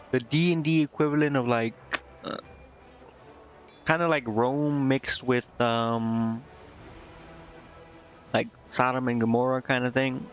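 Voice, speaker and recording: Man reading aloud over music, slightly muffled. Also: medium pitch. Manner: stumbles over read text and corrects himself. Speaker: game streamer. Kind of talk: reading game dialogue aloud with casual live commentary. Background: game music.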